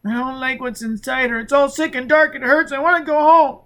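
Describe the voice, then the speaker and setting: Frightened sickly kid for an audiobook, super high whiny voice, stammery and weepy. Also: male voice, steady tone, fairly bright tone. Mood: frightened, reluctant. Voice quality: whiny, pleading. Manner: weeping, halting, stammering. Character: frightened, sickly, wailing telepathic child, a boy.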